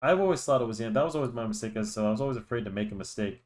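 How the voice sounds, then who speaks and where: A man's voice: medium pitch, very fast, darkish tone. A young man chatting casually while he draws, rambling with many restarts and fillers, and he sounds slightly nasal from a cold. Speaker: drawing channel host. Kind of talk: casual chat while drawing. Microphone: desk microphone.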